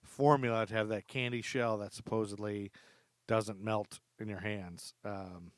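A man hosting a podcast in casual, chatty, loosely phrased talk, quick to laugh. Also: on the low side, fairly even intonation, no echo. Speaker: podcast host.

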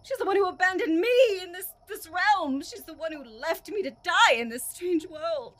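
An actress playing a cruel, haughty, bitter empress in an audio drama, with an imperious, scornful, theatrical manner. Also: female voice, extremely high pitch, lively intonation, moderate pace. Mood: bitter, angry. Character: cruel, haughty empress, bitter toward her step-sister.

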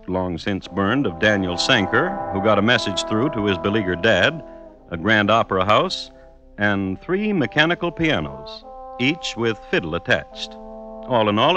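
An actor playing a wry American storyteller, narrating an old-time radio western with deadpan formality. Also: male voice, fairly low pitch. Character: wry male storyteller of Old West tales.

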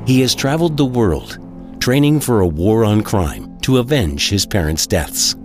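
A man's voice: on the low side, very animated, normal speed. A man reading dramatic scripted narration as the storyteller of an audio drama. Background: loud music.

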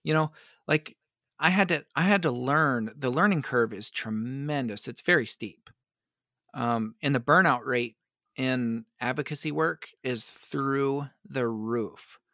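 A man, a pastor, answering interview questions in an earnest, reflective way, his voice worn hoarse by allergies. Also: medium pitch, unhurried, pushed and bright, dry recording, studio quality. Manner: earnest, reflective, many restarts. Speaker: pastor and advocate.

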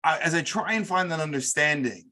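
A man's voice: a little high, lively intonation, a little fast, bright, forceful tone. A middle-aged man hosting a podcast, chatting casually and eagerly about football. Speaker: podcast host.

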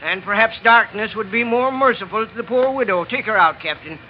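An actor playing a wry, roguish Irish villain aboard ship, with a sly theatrical lilt, in old radio drama style. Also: male voice, high voice, brisk, natural voice. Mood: grave, smooth. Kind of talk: 1940s radio drama acting. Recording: dull, noisy old radio recording.

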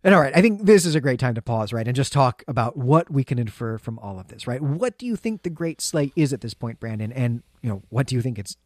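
A man's voice: mid-range voice, big pitch swings, quick pace, hardly any pauses, a little mellow, dry recording. Man hosting a book podcast, very dry sound. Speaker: podcast host. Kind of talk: conversational literary recap and discussion.

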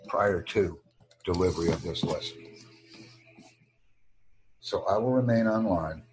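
A man teaching an online English lesson, speaking English natively in slow, formal, measured short phrases. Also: deep voice, slight background noise, low-quality recording. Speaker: English tutor.

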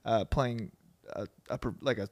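A man's voice: on the low side, steady tone, normal speed, a little mellow. A man in his twenties, a podcast guest, talking in a laid-back, slangy way that often trails off. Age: 20s. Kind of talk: casual podcast chat.

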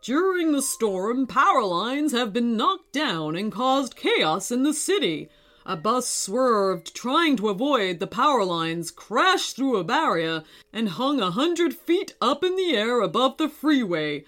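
A woman's voice: fairly high pitch, very animated, slow, fairly bright tone, full character voice. A woman voicing an urgent radio newsreader giving a news report in a story.

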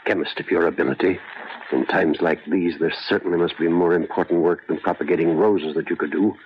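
An actor playing a reasonable, concerned colleague, measured and persuasive, in an old-time radio drama. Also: male voice, deep voice, steady tone, slight background noise, natural voice. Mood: reproving. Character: reasonable, concerned male colleague urging good sense. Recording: muffled old radio recording.

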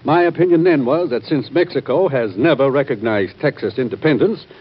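A man playing an anxious, cautious government secretary, formal and earnest, with a husky voice in an old-time radio drama. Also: mid-range voice, a little fast, lightly acted. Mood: worried. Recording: dull, band-limited old radio recording.

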